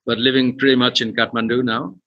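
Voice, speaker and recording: A man's voice: medium pitch, little pitch movement, brisk, no room sound. A man from Nepal with a Nepali accent, answering conversationally as an interview guest over a dull, lo-fi connection. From western Nepal. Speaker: podcast guest, tour company founder.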